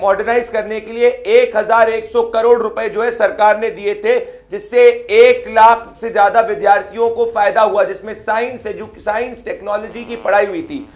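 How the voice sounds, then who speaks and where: A man's voice: very high voice, echoey room. A man speaking as a party spokesperson in a TV news debate, combative and reeling off figures and numbered points. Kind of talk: televised political debate.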